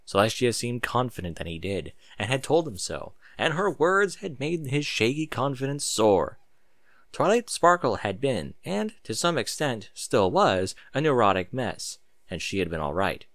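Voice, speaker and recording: Expressive, bright-voiced man narrating. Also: medium pitch, normal speed, dry recording, studio quality. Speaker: narrator reading fan fiction with asides. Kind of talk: dramatic storybook narration with casual sarcastic asides.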